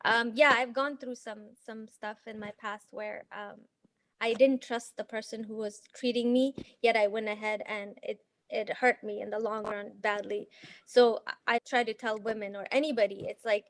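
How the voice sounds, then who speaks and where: A woman raised in Afghanistan speaks as a guest, earnest and hesitant, often restarting her sentences. Her voice sits fairly high. The sound is close and dry, with no room around it. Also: fairly even intonation, normal speed, dry recording. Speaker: interview guest, healer. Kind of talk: casual interview conversation.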